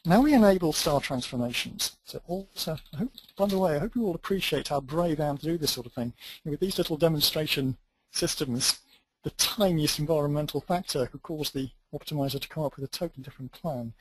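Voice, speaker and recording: A man's voice: fairly high pitch, fairly quick, slight background noise, poor audio. A man teaching a database tutorial, thinking aloud as he types through a live demo with dry, self-deprecating asides. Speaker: database instructor.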